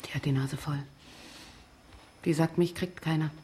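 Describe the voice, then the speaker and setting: An actress playing a jaded, blunt service worker in a radio play, speaking in a sardonic, naturalistic way. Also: female voice, deep voice, steady tone, moderate pace, mellow, slight background noise, close to an everyday voice. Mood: bitter, weary. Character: jaded, blunt woman working in premium customer service. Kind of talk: naturalistic radio drama acting.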